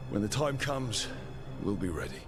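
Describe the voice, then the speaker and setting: Determined fantasy game hero, low-pitched, earnest, sincere, protective team leader, prominent music bed. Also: male voice, sing-song, normal speed. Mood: awed, hopeful. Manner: sincere, persuasive, resolute. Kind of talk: dramatic fantasy video game acting.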